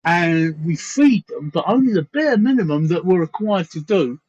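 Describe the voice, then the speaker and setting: A man's voice: high voice, expressive, normal speed, darkish tone, highs cut off. A sixty-two-year-old man co-hosting a casual livestream, teasing the others with innuendo and often repeating his words. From England. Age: 60s. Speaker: livestream co-host. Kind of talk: casual chat.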